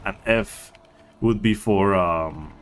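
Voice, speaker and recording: A man giving a casual, unscripted game tutorial as a speedrunner, with a hesitant, halting delivery that often trails off. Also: deep voice, wildly swooping pitch, creaky.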